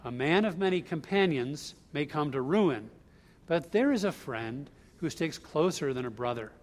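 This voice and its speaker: A man with a somewhat high voice delivers a sermon from the pulpit. He walks through a verse step by step in a relaxed, conversational manner, mixing teaching with personal stories. He grew up in the US Northeast. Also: normal speed. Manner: conversational, explains line by line. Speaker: preacher. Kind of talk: expository sermon.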